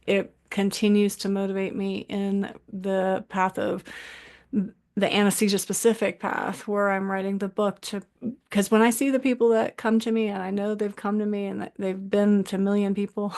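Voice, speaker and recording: A woman doctor talks as a panel guest. Her voice is flat in pitch with a gravelly fry, and her speech is halting, with frequent restarts mid-sentence. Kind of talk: casual conversation.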